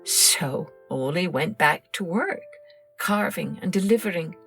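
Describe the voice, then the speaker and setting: A woman narrating a children's story in an expressive, scripted storytelling style, like a podcast host. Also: lowish voice, unhurried, vocal fry, faint music behind.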